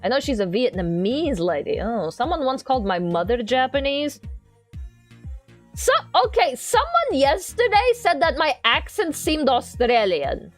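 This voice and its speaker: High, animated woman chatting on stream. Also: measured pace, soft background music. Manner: playful, rambling, repeats herself. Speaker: livestreamer. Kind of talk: casual chat with viewers while doing crafts on stream.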